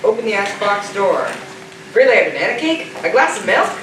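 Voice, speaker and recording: A male student actor as a homesick, playful spaceman with a high, lively voice. His stage delivery carries through a very echoey hall amid noise. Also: fairly quick. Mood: dreamy, playful. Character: homesick, playful male astronaut reciting memories. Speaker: actor in a school theater production. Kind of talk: amateur stage play acting. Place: theater stage, picked up from a distance. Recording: echoey noisy distant stage recording.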